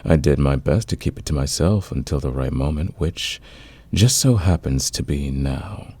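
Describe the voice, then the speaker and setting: Man acting a very deep, seductive gravelly villain. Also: expressive, darkish tone, no echo, heavily acted. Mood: confessional, seductive. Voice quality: gravelly, husky. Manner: intimate, teasing, unhurried, confident. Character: smitten male fantasy villain, patient, dominant, smoothly seductive. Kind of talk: romantic ASMR audio roleplay.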